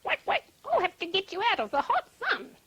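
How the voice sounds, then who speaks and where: A woman plays a fussing, kind-hearted little duck from a children's story. He is high-pitched and very animated, with quacks scattered between short, exclaiming phrases. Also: brisk, heavily acted. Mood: helpful. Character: friendly, helpful small male duck, fussing and kindly.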